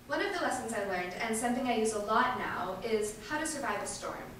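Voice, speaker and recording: A woman giving an earnest, emphatic dramatic reading of a first-person monologue, with deliberate pauses. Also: a little high, lively intonation, fairly bright tone. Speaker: reader performing an activist's first-person testimony. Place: large indoor event space, distant microphone. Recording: echoey hall from far off, faint noise.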